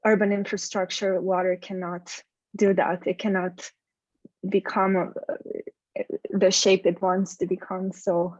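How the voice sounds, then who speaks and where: A woman sharing a memory in a group call, hesitant and measured, searching for words. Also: medium pitch, little pitch movement, darkish tone, no room sound, lo-fi sound. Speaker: workshop participant.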